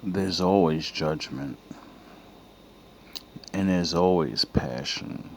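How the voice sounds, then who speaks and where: Slow, very low-voiced man, musing. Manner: musing, philosophical, trailing off. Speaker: commentator reacting to film villain quotes. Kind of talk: casual reflective monologue. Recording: clear background noise.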